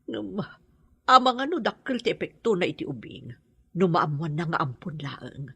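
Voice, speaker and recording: An actress playing a worried, gentle elderly village mother in an Ilocano radio drama, with a worn, husky voice. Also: fairly low pitch, sing-song, normal speed, no room sound, heavily acted.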